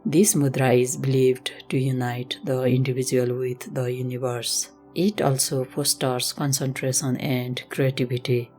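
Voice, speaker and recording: A woman instructor leading a slow guided meditation in a gentle, measured, instructional manner. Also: very deep voice, level tone. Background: music.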